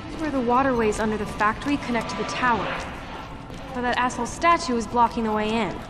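A woman's voice: on the high side, fast talker, natural voice. An actress playing a foul-mouthed, jittery, sarcastic young woman in a horror video game. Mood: nervous, disgusted. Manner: brash, sardonic, sweary. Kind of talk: video game drama acting. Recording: long reverb, loud game music.

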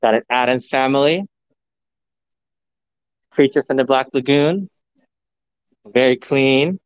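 A man livestreaming a walkthrough of a pinball showroom, excitedly talking to his viewers, recorded on a phone indoors. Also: medium pitch, slow pace, clean tone, no echo, dull audio. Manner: excited, enthusiastic, addressing viewers. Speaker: vlogger, live streamer. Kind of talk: live walkthrough commentary. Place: large indoor arcade showroom. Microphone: phone camera.

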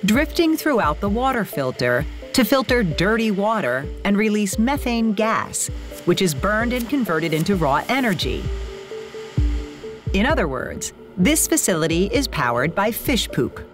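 A woman reading scripted documentary narration in a lively, playful way. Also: mid-range voice, unhurried. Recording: voice-over with music bed.

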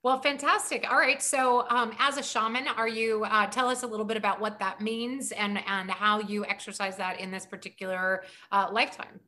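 A woman's voice: medium pitch, fairly even intonation, moderate pace, few pauses, bright, forceful tone. A middle-aged woman hosting an interview over a video call, bubbly and enthusiastic as she welcomes her guest. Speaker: host.

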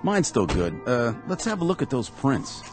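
Actor as wry, practical trooper, lively pitch. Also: male voice, normal speed, over music, natural voice. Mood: calm, wry. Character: calm, wry, practical male state trooper in charge. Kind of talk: radio drama acting.

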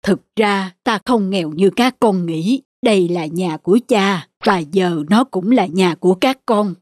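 A woman voicing a kindly old village father in an animated folk tale, plaintive and fatherly, with a husky voice. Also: mid-range voice, measured pace, no echo, studio quality, close to an everyday voice. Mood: warm, grateful.

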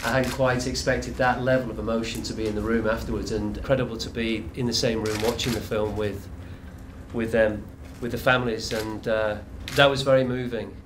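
A man's voice: medium pitch, level tone, a little fast. A man speaking as a film director at a press conference, heartfelt and reflective. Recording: noisy room.

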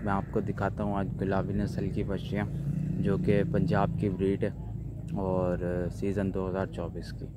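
Pakistani male cattle-farm presenter, monotone, casual short phrases, noisy outdoor handheld sound with bleating. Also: deep voice, moderate pace. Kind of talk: casual livestock showcase narration. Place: open tented cattle yard.

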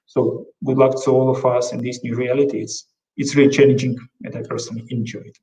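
Guy on a zoom panel, flat halting talk, lots of uhs, sounds roomy and far from the mic. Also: mid-range voice. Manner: halting, many fillers and repeated words. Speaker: corporate counsel. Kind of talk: panel talk on a video call. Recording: roomy, distant video call audio.

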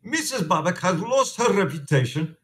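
Earnest, defiant immigrant priest. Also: male voice, high-pitched, very animated, normal speed, fairly bright tone. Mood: indignant, sorrowful. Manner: earnest, pleading, formal phrasing. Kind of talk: radio play acting.

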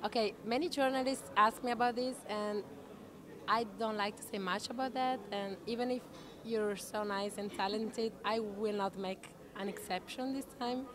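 Actress guest, woman in her thirties. Animated, self-correcting anecdotes into a handheld mic, with people chattering behind. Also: medium pitch, normal speed. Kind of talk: chatty anecdotal interview answers. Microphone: handheld interview microphone shared with interviewer. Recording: busy chatter in the background.

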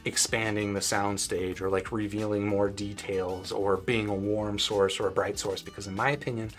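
An American man in his forties with a fairly low voice talks through a product review in a casual, conversational way. He thinks aloud and adds hedging asides as he goes. Soft music plays behind him. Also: little pitch movement, moderate pace. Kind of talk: casual tech review talk. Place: small home room, indoors.

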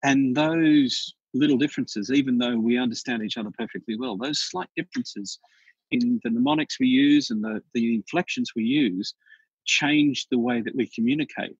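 A husky-voiced Australian man chats as a podcast guest, spinning anecdotes with lively energy and plenty of restarts. His voice sits at an ordinary middle pitch. The recording is dry and a little dull, like a lo-fi remote call. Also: moderate pace, dry recording. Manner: chatty storyteller, animated, frequent restarts. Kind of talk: casual interview chat.

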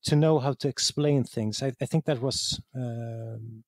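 A man's voice: mid-range voice, measured pace, dry recording. A man from Sweden with a Swedish accent and Swedish as his first language, reflecting in an interview as a game designer, often repeating words as he searches for the right one.

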